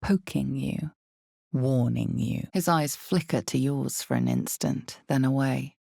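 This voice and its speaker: Ominous fantasy video game narrator, super low female voice, really slow and deliberate, dramatic storytelling. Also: big pitch swings, gravelly, warm tone, breathy edge, no echo, clean studio sound, exaggerated voice. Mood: ominous, hushed.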